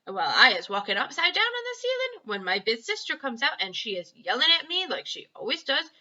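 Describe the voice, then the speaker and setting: A woman voicing a boastful child in a storybook, telling tales excitedly and emphatically in muddled grammar. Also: sits high, a little fast, lo-fi sound, voice pushed up, full character voice. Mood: cheeky.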